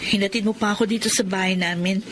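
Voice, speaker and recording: A woman's voice: mid-range voice, steady tone, quick pace, heavy background noise, natural voice. A woman playing a simple, shy but coquettish young woman in love in a Filipino radio drama. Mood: earnest, smitten.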